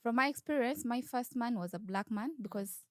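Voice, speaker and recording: A woman's voice: a little high, fairly quick, no room sound, studio quality. A woman with a Ugandan accent giving a candid informal interview, explaining and repeating her points.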